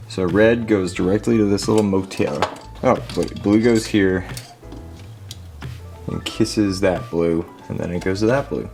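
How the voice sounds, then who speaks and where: A man with a low-pitched voice hosts a casual hands-on repair vlog in a garage. He is wry and jokey, often addressing the objects in front of him as he goes. Music plays clearly behind his commentary. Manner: jokey, sarcastic, talks to the objects. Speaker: host of a hobby repair video. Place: home garage.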